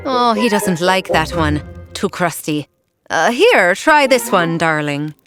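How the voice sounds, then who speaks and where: A woman acting a clever giant's wife from a storybook tale. Her voice swings with lively inflection as she coos sweetly and teases with a straight face. Music plays under her. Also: lowish voice, takes it slowly. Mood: doting, fussing. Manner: sweetly playful, deadpan teasing. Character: giant's clever wife, doting fake mother, dryly teasing. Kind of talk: storybook audio drama.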